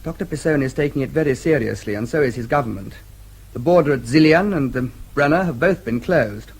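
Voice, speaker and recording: An actor playing a British diplomat and protective brother in a radio drama, clipped, courteous and formal, with an English accent. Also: male voice, mid-range voice, a little fast, gravelly, darkish tone, slightly rough. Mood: matter-of-fact.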